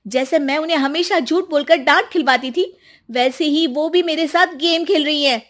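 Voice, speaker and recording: Casting: female narrator as young bride, indignant and emphatic, raised high voice, moral-story melodrama. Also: moderate pace, natural voice. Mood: realizing, bitter. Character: young daughter-in-law, indignant former spoiled liar. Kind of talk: dramatized Hindi moral story.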